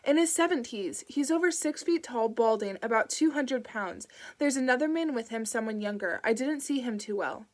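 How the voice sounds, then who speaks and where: A woman's voice: a little high, expressive, normal speed, hardly any pauses, natural voice. Woman voicing a frantic mother begging for help. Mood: desperate. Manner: desperate, breaking into sobs, swearing. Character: frantic young mother pleading on phone.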